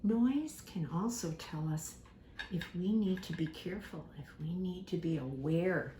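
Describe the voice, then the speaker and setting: A woman giving a simple lesson to young children, speaking deliberately, repeating key words and acting out examples. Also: lowish voice. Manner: animated, acts out examples with props. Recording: echoey room with faint background noise.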